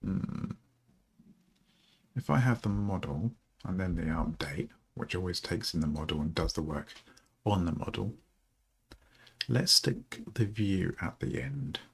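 A middle-aged man with a low, gravelly voice, still rough from just getting up. He talks at an unhurried pace, halting often with ums as he thinks aloud through his work. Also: lively intonation. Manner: slow, halting, with many ums. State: rough morning voice. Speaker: programmer streaming a coding session. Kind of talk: thinking aloud while coding.

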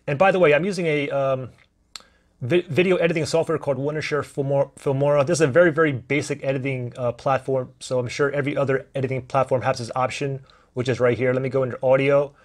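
A man in his thirties talking through a camera microphone test step by step, in a relaxed way with fillers, recorded indoors on a camera-mounted shotgun mic. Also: medium pitch, quick pace. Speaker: gear reviewer. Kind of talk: casual how-to walkthrough. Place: indoor room, desk demo.

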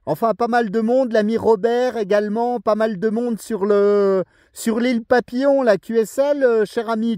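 A man's voice: very high-pitched, a little mellow, no room sound, clean studio sound. A man making a casual radio contact outdoors, speaking courteously as an amateur operator in radio jargon. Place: outdoors in a forest clearing.

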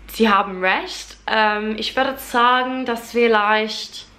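A woman's voice: fairly high pitch, a bit bright. A young Englishwoman chatting casually to the camera in German as a learner, with an English accent and many fillers and restarts. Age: young adult. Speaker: vlogger. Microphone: camera at close range.

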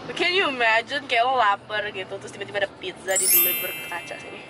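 A woman's voice: medium pitch, quick pace, music in the background. A young woman chatting casually as a vlogger, recorded on a handheld camera inside a car. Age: young adult. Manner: chatty, informal, slips between Indonesian and English. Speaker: beauty vlogger. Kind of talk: casual vlog chat. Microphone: handheld camera at arm's length.

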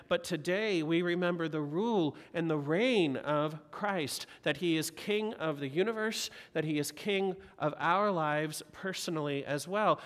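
Middle-aged male pastor, earnest exhorting sermon, slightly high voice, echoing church hall. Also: fairly bright tone. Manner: earnest, exhorting, uses repeated rhetorical questions. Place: large church sanctuary. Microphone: pulpit mic. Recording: long reverb of a church hall.

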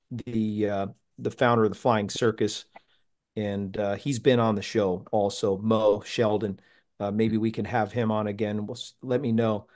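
A man's voice: on the low side, a little mellow. Male podcast host, casual and upbeat, video-call sound. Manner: chatty, laughs often, upbeat.

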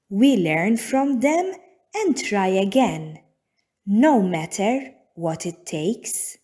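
A woman reading a children's picture book aloud as narrator, in deliberate, measured phrases with frequent pauses. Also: mid-range voice, mellow, breathy edge. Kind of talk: scripted storybook narration for young children.

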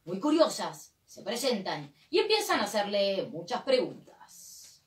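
A woman's voice: mid-range voice, big pitch swings, a little slow. A woman playing an earnest, bossy female clown captain in a theatrical comic performance. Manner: commanding, theatrical. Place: small indoor room, three performers at table. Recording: echoey room, distant mic, faint noise.

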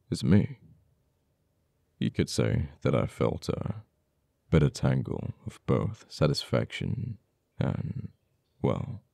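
Casting: male actor for cold, uptight official in ASMR roleplay, extremely low gravelly voice, slow and halting, bitter over a lost friend. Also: mellow, dry recording, clean studio sound, full character voice. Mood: bitter, teasing. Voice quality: very deep, gravelly. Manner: halting, teasing, dramatic pauses and repeated words.

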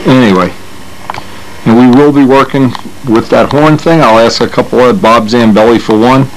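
A man's voice: medium pitch, normal speed, slight background noise, poor audio. A man hosting a model airplane channel who talks casually and rambles through his workshop while showing parts, often stopping to restart his sentences. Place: home workshop.

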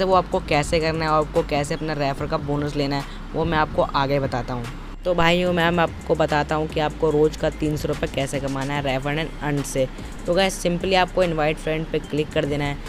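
A young man giving an informal, step-by-step tutorial voice-over with repetitive, instructional phrasing. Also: on the high side. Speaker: tutorial presenter. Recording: noisy, outdoor traffic-like background.

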